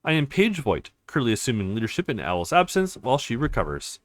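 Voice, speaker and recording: A man voicing a formal, composed interim leader of a crime council in a visual novel, speaking in a measured way. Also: medium pitch, sing-song, no room sound, natural voice. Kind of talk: reading visual novel dialogue.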